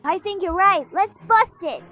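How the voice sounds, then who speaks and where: Woman voicing a teenage fairy girl, extremely high pitch, blunt, slangy, punchy, cartoon dub, faint music. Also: normal speed, bright, forceful tone, highs cut off. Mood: alert. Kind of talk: cartoon fan dub acting.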